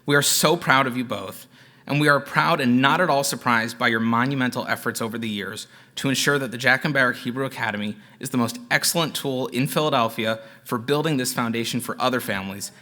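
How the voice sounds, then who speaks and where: A young man giving an earnest, heartfelt prepared speech at a gala, thanking his parents in a measured way. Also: mid-range voice, sharp tone. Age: young adult. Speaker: alumnus and son giving a gala tribute.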